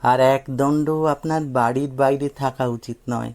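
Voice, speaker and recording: A man's voice: mid-range voice, fairly even intonation, moderate pace, a little mellow, lightly acted. A man voicing a cheeky young man who teases with mock politeness and feigned innocence. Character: cheeky, precocious young man, mockingly polite and falsely naive.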